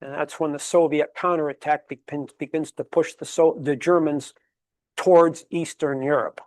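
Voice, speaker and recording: A man's voice: fairly high pitch, steady tone, normal speed, no room sound. A man giving a history lecture at a public library, emphatic and fond of rhetorical questions, rattling off dates and figures. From Connecticut, USA. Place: library meeting room.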